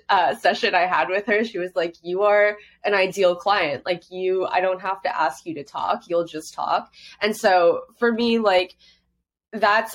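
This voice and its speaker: Chatty young woman, lowish voice. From Hong Kong. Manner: chatty, heavy use of "like", laughs easily. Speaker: podcast guest. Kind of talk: casual interview chat.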